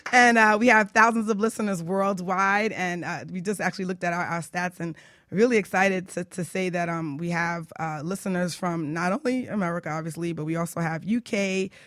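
A woman's voice: fairly low pitch, normal speed, fairly bright tone, no echo, clean studio sound. A woman hosting a radio show in a casual, chatty, conversational way. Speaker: radio show host and life coach. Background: faint music.

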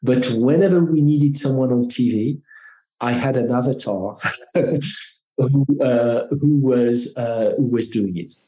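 A middle-aged man from France speaks with a clear French accent, giving unhurried, halting answers full of restarts. His tone is mellow and low-key, and the recording has a noticeably roomy echo. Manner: measured, halting, with frequent restarts. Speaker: marketing executive guest. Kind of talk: reflective interview answers.

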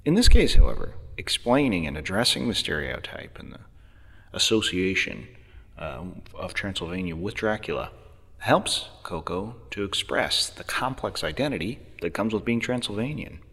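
A man narrating a podcast episode in a measured, wry storytelling way. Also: lowish voice, big pitch swings, vocal fry, fairly bright tone, slightly rough, long reverb tail. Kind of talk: scripted narration.

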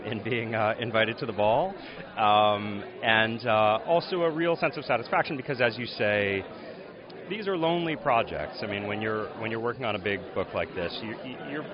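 A man, an author, answering a question at an awards dinner in a hesitant, thoughtful way with many ums. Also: lowish voice. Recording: dull audio in a noisy crowd.

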